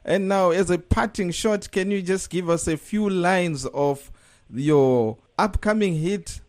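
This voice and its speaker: A man hosting a radio interview, asking questions in a measured way with frequent uh fillers. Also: high-pitched, a little mellow. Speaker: radio host.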